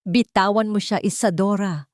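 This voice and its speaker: A woman's voice: medium pitch, normal speed, dry recording, clean studio sound, pitched above the performer's own voice, close to an everyday voice. A woman voicing an anguished, weakened husband in an audiobook drama, strained, bitter and pleading. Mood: desperate. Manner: strained, emotional.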